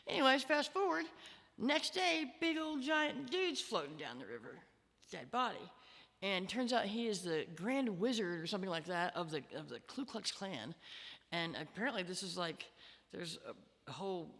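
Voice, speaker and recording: A woman's voice: medium pitch, big pitch swings, normal speed, a bit bright. A woman from Missouri, a musician being interviewed, telling a story casually with lots of fillers and restarts. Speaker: musician guest. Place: reception hall. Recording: reverberant hall.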